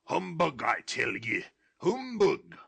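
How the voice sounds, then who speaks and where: A man's voice: a little high, big pitch swings, slow, gravelly, bright, forceful tone, breathy edge, dry recording, voice pushed up, heavily acted. A man voicing a frightened old miser who exclaims and pleads in an agitated way. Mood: scoffing.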